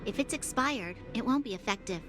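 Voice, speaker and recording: A woman's voice: on the high side, expressive, brisk, music in the background, lightly acted. An actress voicing a composed, formal young noblewoman in a game cutscene, precise and cautious. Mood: prim. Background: game score.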